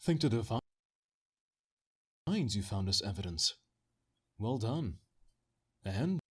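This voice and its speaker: A man playing an imperial official in a husky fantasy game audition read, recorded in an echoey, tinny untreated room. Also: fairly low pitch, big pitch swings, takes it slowly, full character voice. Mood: relieved, approving. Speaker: auditioning amateur voice actor.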